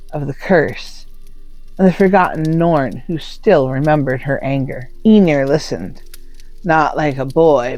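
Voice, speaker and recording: A woman narrating a scripted folktale in a solemn, deliberate way, with short dramatic pauses. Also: low-pitched, a little mellow. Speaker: storyteller. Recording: roomy echo under faint music.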